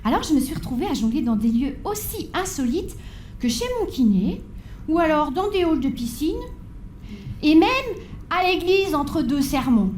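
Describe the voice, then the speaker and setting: A woman's voice: sits high, animated, normal speed, a little mellow. A woman in her forties giving a stage talk, telling her life story with wry, dramatic pacing and playful asides to the audience. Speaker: conference speaker. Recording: echoey hall with faint background noise.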